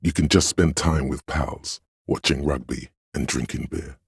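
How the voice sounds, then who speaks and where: Very deep, imperious inner voice. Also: male voice, steady tone, vocal fry, slightly rough, no echo, clean studio sound, full character voice. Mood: contemptuous. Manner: pompous, imperious, measured. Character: stern, domineering inner voice of authority, haughty and commanding. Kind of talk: dramatic game narration.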